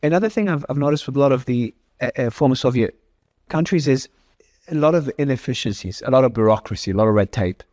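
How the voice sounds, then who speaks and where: A man's voice: medium pitch, animated, fast, a little mellow, no echo, studio quality. A man from Australia, hosting an interview in a rambling, self-interrupting, enthusiastic way. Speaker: interview host. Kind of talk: conversational interview.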